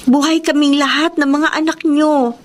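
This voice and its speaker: A woman's voice: high-pitched, fairly even intonation, normal speed, slight background noise, close to an everyday voice. An actress playing a resolute, caring young working woman, speaking earnestly and reassuringly in radio drama style. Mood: pleading, resolute. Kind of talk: emotional radio drama acting.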